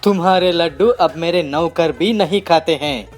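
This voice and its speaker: A man voicing an arrogant, bullying bird boss with a loud, scornful manner in a children's cartoon. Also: fairly high pitch, moderate pace, faint music behind, natural voice. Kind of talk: Hindi children's cartoon dialogue.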